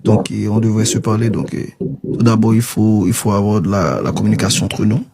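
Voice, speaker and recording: A man's voice: on the low side, steady tone, a little fast, creaky, mellow, slightly rough. A man in an intimate casual conversation, speaking in an affectionate, coaxing way.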